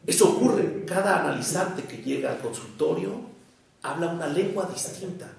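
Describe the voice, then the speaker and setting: Echoey distant recording, Mexican man, gravelly voice, deliberate didactic lecturer, emphatic pauses. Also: fairly high pitch, lively intonation. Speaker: lecturer on psychoanalysis. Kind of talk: prepared public lecture. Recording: echoey room, distant microphone.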